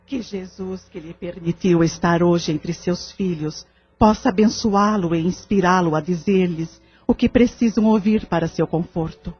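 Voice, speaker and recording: A woman's voice: fairly low pitch, husky. A woman with a Brazilian accent playing a serene, kindly séance leader, solemn and consoling in an audio drama. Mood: gentle, encouraging. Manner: solemn, gentle, measured, consoling. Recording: slightly muffled, music behind.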